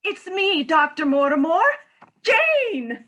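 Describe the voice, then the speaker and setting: A woman performs a middle-aged former nurse in a comic play reading. Her voice sits high and bright. She speaks frankly, with wry, pointed teasing and an earnest streak. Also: big pitch swings, slow, heavily acted. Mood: apologetic, insistent.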